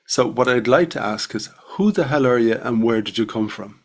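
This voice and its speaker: Middle-aged male podcast host, mid pitch, informal and jokey interviewer. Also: moderate pace. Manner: jokey and informal, tells anecdotes.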